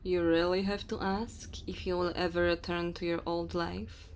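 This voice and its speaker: A woman's voice: lowish voice, little pitch movement, normal speed, slight background noise, close to an everyday voice. A woman voicing a dominant, teasing immortal dragon woman in an ASMR roleplay, haughty and speaking straight to the listener. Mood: stern, condescending. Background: cave ambience and fire sound effects.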